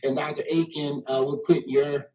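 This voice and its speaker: A man's voice: on the high side, flat delivery, normal speed, darkish tone, low-quality recording. A man with a heavy Louisiana and Texas Southern accent, hosting a panel in a loose, joking way full of slang. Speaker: host. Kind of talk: casual hosted chat.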